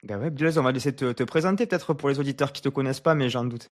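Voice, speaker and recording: A man's voice: mid-range voice, fast talker, no echo, clean studio sound. A man hosting a podcast in a conversational way. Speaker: podcast host.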